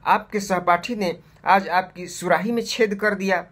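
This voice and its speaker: Storybook old pot seller, played by a male narrator: wise, deliberate, husky, courteously reproachful. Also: high voice, fairly even intonation, a bit bright.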